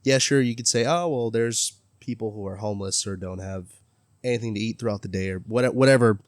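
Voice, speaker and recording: A man with a fairly low voice hosts a casual interview in an earnest, friendly way. His sound is dry and close-miked. He chats openly and plugs his own channel now and then. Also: a little fast, dry recording. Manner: earnest, friendly, self-promoting. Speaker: interviewer, gaming content creator.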